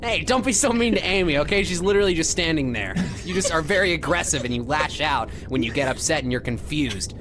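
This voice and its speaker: A bossy young hedgehog hero acted out by a woman, emphatic and easily exasperated. The pitch swings freely in this improvised comic game dub, with clear music underneath. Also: expressive, fast, hardly any pauses.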